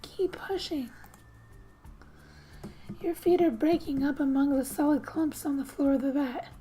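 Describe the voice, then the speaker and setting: High, hushed, soft-spoken ASMR woman. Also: slight background noise. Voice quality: soft, breathy, often near a whisper. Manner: gentle, hushed, unhurried storytelling. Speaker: ASMR gamer and host. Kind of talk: soft-spoken ASMR, reading a game's story aloud with casual asides.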